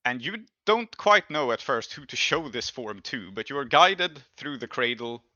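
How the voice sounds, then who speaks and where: A man running a tabletop role-playing game, improvising scene descriptions and rules calls in a halting, thinking-aloud way. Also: mid-range voice, big pitch swings, pushed and bright, no echo, highs cut off.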